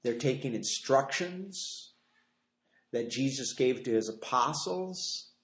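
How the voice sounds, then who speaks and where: A man's voice: mid-range voice, expressive, slow, fairly bright tone. A man with an American accent teaching the Bible as a pastor, explaining conversationally and repeating phrases for emphasis. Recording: roomy, echoing live teaching space.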